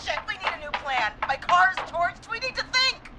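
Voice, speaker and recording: A woman's voice: very high voice, big pitch swings, moderate pace, background noise. A woman acting a resolute but frightened police dispatcher in a video game, urgent and hesitant under stress. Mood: tense, searching.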